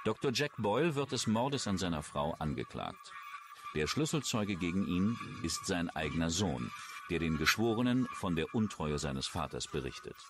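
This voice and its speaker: A man's voice: sits low, sing-song, moderate pace, background noise. A man reading scripted true-crime documentary narration as the narrator. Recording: music under the voice. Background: music.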